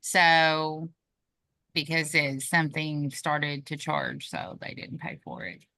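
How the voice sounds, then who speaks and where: A woman's voice: low-pitched, level tone, takes it slowly, creaky, pushed and bright, dry recording. A woman teacher speaking on a video call, hesitant and restarting her sentences. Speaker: teacher in an online meeting. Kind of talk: casual online meeting chat.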